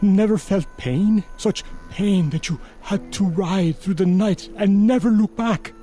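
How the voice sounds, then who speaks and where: A man's voice: sits high, unhurried, mellow, faint music behind. An actor playing a humble, grieving old father in a radio drama, imploring and sorrowful. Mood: anguished, sorrowful. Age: older. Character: humble, grieving old Mexican father pleading for his son.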